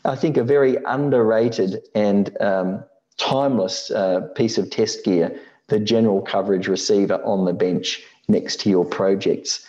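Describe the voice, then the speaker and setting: Middle aged guy explaining stuff over slides, deep mellow voice, matter of fact, kinda muffled lo-fi mic. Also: measured pace. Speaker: presenter of an electronics building tutorial.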